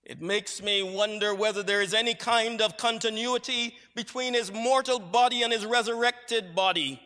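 A man from Barbados preaching a sermon, with measured, reflective storytelling. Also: high voice, sharp tone. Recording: long hall reverb.

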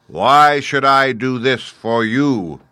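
A man voicing a great, stern wizard who is a giant talking head in a storybook, speaking slowly, emphatically and with command. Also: medium pitch, pushed and bright, smooth, dry recording, voice pushed up. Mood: imperious. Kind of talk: storybook character voice.

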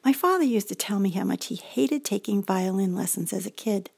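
Older American woman, reflective memoir narrator, a little gravelly, dry close mic. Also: mid-range voice, animated, fairly quick, no room sound. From United States. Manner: gentle, reflective storytelling. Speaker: feature presenter. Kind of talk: scripted personal narration.

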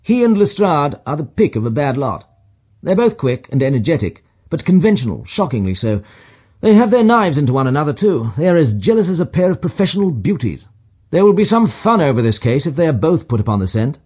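Male narrator as superior gentleman detective, audiobook dialogue. Emphatic, dry, highly animated, slightly husky. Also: fairly quick, dull audio. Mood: amused, disdainful. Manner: emphatic, clipped pronouncements with dry condescension. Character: brisk, self-assured detective, languid yet energetic and superior.

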